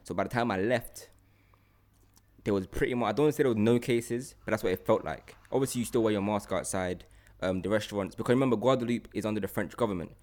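A young man co-hosts a casual chat. He rambles through his stories in an animated way, leaning on everyday slang. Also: lowish voice, fast talker. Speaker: podcast co-host.

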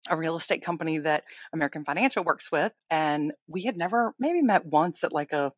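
A woman's voice: low voice, animated, a little fast, dry recording, studio quality. A woman co-hosting a casual chat, talking in a friendly, chatty way. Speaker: podcast co-host, lender, rescue founder.

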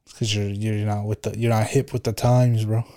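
A man's voice: lowish voice, little pitch movement, normal speed, warm tone. A young man co-hosting a podcast, chatting casually in a slangy, laid-back way with plenty of swearing. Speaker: podcast co-host. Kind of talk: casual chat.

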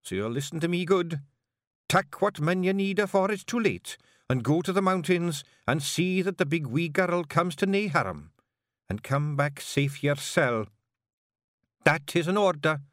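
A man voicing a small, stern female pixie clan leader with a sharp, commanding Scottish voice. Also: a little high, expressive, measured pace, no echo, clean studio sound, pitched above the performer's own voice. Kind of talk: audiobook character voice.

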